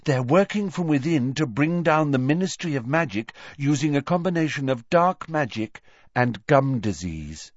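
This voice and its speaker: A man's voice: on the high side, big pitch swings, moderate pace, no room sound, muffled recording, voice pushed up. A man voicing a dreamy, eccentric teenage girl in an audiobook, earnest and matter-of-fact.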